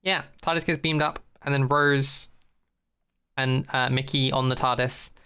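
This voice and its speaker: A man's voice: mid-range voice, steady tone, measured pace, bright, forceful tone, clear, resonant tone. A man hosting a podcast, chatting in a casual, rambling comic recap style with an irreverent, sweary manner. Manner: irreverent, swearing, acts out quotes in put-on voices. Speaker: podcast host.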